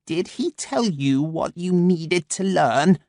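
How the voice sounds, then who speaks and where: A man voicing a fierce female crow leader, speaking higher and harsher, with venom in her voice. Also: expressive, takes it slowly, warm tone, no echo, studio quality, exaggerated voice. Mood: venomous.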